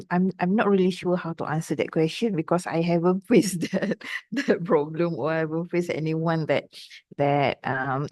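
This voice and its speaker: A woman's voice: low voice, darkish tone, no echo. A woman with a Malaysian accent, a native Malay speaker, giving informal advice to students and mixing Malay phrases into her English. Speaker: guest speaker at an academic webinar.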